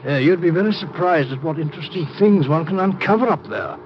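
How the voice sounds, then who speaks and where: An actor playing an ailing, genial English professor in a 1950s radio drama, flustered, hesitant and genteel. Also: male voice, fairly high pitch, animated, fairly quick, natural voice. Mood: wistful, confiding. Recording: muffled noisy 1950s radio recording.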